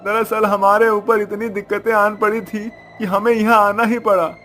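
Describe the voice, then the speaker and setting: A man's voice: high voice, little pitch movement, normal speed, a bit bright, a little hiss or hum, pitched above the performer's own voice. A man voicing a humble, apologetic poor father in a cartoon story. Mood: apologetic, pleading.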